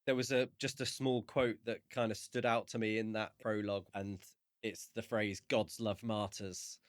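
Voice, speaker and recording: A man co-hosting a podcast, analysing a book in casual talk with measured, halting phrasing. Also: lowish voice, little pitch movement, dry recording, studio quality. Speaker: podcast co-host.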